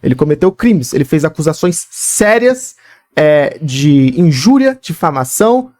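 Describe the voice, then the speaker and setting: A woman's voice: sits low, very animated, unhurried, dark tone, no echo, clean studio sound. A woman VTuber, seriously explaining a controversy in a commentary video.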